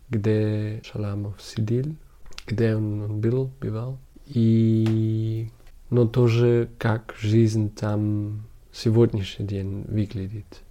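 Slow, low-voiced man with a foreign accent. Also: warm tone. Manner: halting, searching for words. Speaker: interview guest, documentary photographer. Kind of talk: conversational interview.

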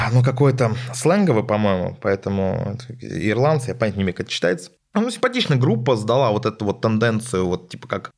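A man answering listener questions on a podcast as a music critic, casual and rambling, with frequent hesitation sounds. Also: on the low side.

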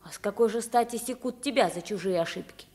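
A woman's voice: on the high side, quick pace, mellow, close to an everyday voice. A woman playing a spirited, kind-hearted pauper boy mistaken for a king, with emphatic theatrical delivery in a radio play. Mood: indignant. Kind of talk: 1950s Russian radio play acting.

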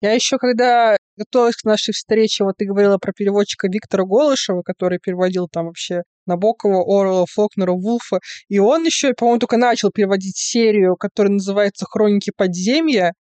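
A woman's voice: medium pitch, quick pace, hardly any pauses, darkish tone, smooth, no echo. A woman hosting a podcast, friendly, asking long rambling questions. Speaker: podcast host. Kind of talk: casual interview chat.

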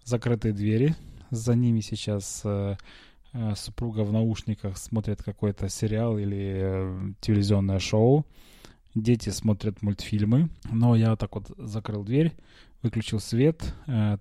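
Male podcaster, very mellow and muffled-warm tone, mid pitch, getting over a cold, hesitant conversational storytelling, dry room. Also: no echo. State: recovering from a cold, slight cough. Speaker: podcast host. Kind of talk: casual personal storytelling. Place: small quiet bedroom, lying on a bed.

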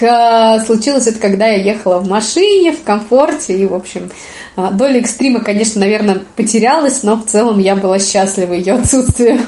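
Женщина-гостья с лёгкой хрипотцой после болезни непринуждённо и вдумчиво рассказывает о своём опыте, часто делая паузы. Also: female voice, mid-range voice, warm tone. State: slightly hoarse, recovering from illness. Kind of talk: casual personal storytelling. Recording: roomy, echoing sound.

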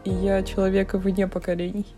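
A woman's voice: mid-range voice, monotone, normal speed, warm tone, soft background music. A woman of twenty-one co-hosting a podcast, laying out facts in a matter-of-fact way. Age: 20s. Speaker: podcast co-host. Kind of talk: casual chat.

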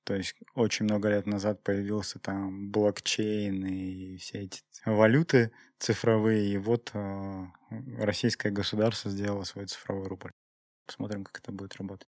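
A man talking conversationally on a podcast, explaining and reasoning aloud in a measured way. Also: on the low side, little pitch movement, a little mellow, no echo. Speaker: podcast co-host, IT professional. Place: podcast recording studio. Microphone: close studio microphone.

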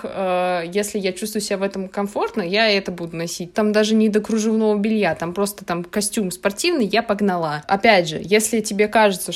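A young woman, about nineteen, talks in a mid-range voice. She is emphatic and opinionated, chatting casually as a discussion host, with plenty of slang and an occasional English phrase. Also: mid-range voice. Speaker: discussion host asking questions.

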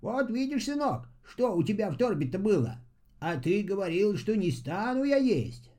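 A gentle old grey-haired helper from a storybook, acted out by a man. His voice sits high and swings expressively, with a soft tone and folksy, guiding manner. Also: sing-song, moderate pace. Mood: kindly. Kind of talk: storybook fairy-tale voice acting.

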